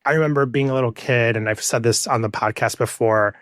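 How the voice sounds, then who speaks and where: Man, mid-range pitch, reflective interview host, dry studio-like sound. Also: fairly even intonation, a little fast, fairly bright tone, no room sound. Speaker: podcast host. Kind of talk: conversational podcast interview.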